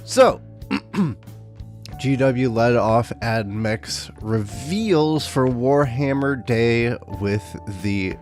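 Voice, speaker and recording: A man hosting a tabletop gaming video, his voice a little rough from recent illness, with sarcastic, exasperated commentary and dry jokes. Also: mid-range voice, very slow, darkish tone, faint music behind. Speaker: tabletop wargaming YouTuber. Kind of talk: casual commentary to camera.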